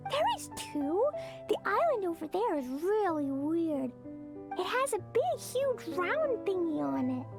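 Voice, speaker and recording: An impulsive little girl game character with a very high, childlike voice that swings widely in pitch. She is eager and full of exclamations, yet takes her time over the words. Music plays underneath. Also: big pitch swings, unhurried, heavily acted. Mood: curious. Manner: childlike, exclaiming, eager, refers to herself by name. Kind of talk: video game cutscene voice acting.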